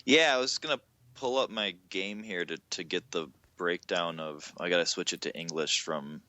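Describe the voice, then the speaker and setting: A man co-hosting a gaming podcast, joking and telling stories in a casual, animated way. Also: deep voice, moderate pace, dry recording. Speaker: podcast co-host. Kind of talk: casual chat.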